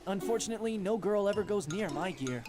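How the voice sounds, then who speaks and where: A friendly, goofy young student, as a man puts him on banters in an upbeat, jokey way. His mid-pitched voice swings widely, and music plays clearly behind him. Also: animated, quick pace.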